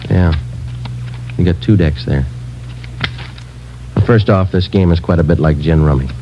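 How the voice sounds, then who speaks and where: An actor in a 1950s radio drama playing a calm police sergeant who talks in a clipped, matter-of-fact way. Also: male voice, low voice, measured pace, slight background noise. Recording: dull lo-fi old radio broadcast with music.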